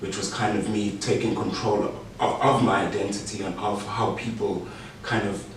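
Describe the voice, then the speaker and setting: A man's voice: on the low side, little pitch movement, normal speed. A South African man whose first language is Afrikaans, speaking in a reflective, articulate way with frequent "you know" and restarts. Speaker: artist and guest. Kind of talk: casual conversation. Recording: roomy space, distant mic, faint noise.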